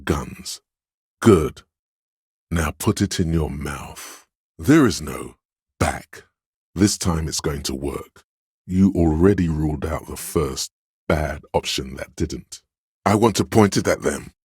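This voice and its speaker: Extremely deep, commanding inner voice. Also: male voice, expressive, a little mellow, husky, no echo, clean studio sound, heavily acted. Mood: urgent, goading. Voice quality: very deep, resonant bass. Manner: imperious, measured, scolding and goading. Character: commanding, domineering inner voice of authority in a cop's mind. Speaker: video game voice actor. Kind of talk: dramatic game narration, inner-voice lines.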